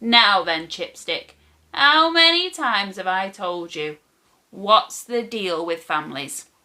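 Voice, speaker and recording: A woman voicing a gruff but kindly old grandfather in a children's storybook, blunt, teasing and matter-of-fact. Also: medium pitch, expressive, takes it slowly, pushed and bright, exaggerated voice. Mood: jovial, teasing. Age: older. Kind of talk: children's storybook character reading.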